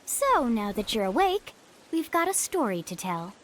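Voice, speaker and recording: A woman's voice: on the high side, very animated, normal speed, a bit bright, slight background noise. A woman playing a cryptic, cheerfully mysterious young woman in a game cutscene, airy and teasing. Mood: breezy, teasing. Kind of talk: animated game cutscene acting.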